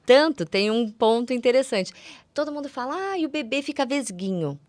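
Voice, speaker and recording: A woman's voice: sits high, lively intonation, fairly quick, darkish tone, no room sound. A woman with a Brazilian accent speaking as a guest eye doctor, explaining things conversationally and didactically, repeating words for emphasis and using affectionate diminutives. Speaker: guest ophthalmologist. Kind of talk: conversational medical explanation for lay audience.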